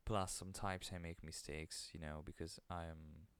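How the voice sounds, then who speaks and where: A man with an Italian accent hosting a podcast alone, keeping his voice low and soothing, with an occasional stutter into the microphone. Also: flat delivery, normal speed, a bit bright, clean tone, no room sound. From Italy. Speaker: podcast host. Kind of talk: casual solo monologue.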